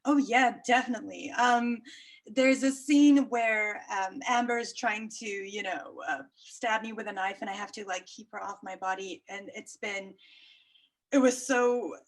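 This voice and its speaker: A woman actress from South Africa, a native Afrikaans speaker with a South African accent, chatting brightly in an interview and laughing often. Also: medium pitch, fairly even intonation, unhurried, low-quality recording. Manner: bubbly and chatty, laughs often, many fillers.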